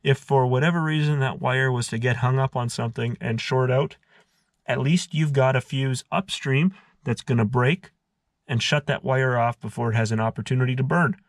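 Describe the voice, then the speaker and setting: A man's voice: medium pitch, moderate pace, no echo, studio quality. A man narrating a casual hands-on DIY tutorial in a methodical, explanatory way. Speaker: host of a DIY car repair tutorial.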